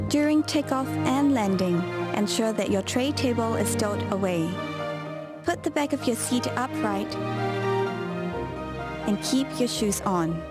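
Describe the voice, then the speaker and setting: Loud music plays behind a woman's fairly high voice. She reads scripted safety instructions as the narrator of an airline video. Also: lively intonation, a little slow.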